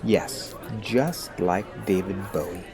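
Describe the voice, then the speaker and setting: A man playing a principled, friendly American high school boy who explains things earnestly in an audio drama. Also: takes it slowly, vocal fry, a little mellow, soft background music, heavily acted. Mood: explanatory. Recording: busy crowd noise behind.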